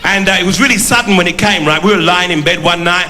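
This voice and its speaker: A man's voice: high-pitched, fast talker, bright, forceful tone, slight background noise. A man on a live comedy stage playing a cocky, boastful young man from Brixton with a South London accent, who keeps asking "you know what I mean". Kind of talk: stand-up character act. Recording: echoey hall with crowd laughter.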